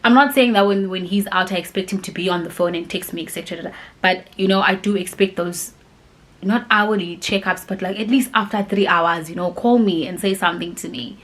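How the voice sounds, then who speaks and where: A woman's voice: fairly low pitch, a little fast. A woman, chatting casually and rambling as she answers viewer questions, with lots of fillers. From grew up in Cape Town, South Africa. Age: 20s. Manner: rambling and animated, many "like" and "you know" fillers.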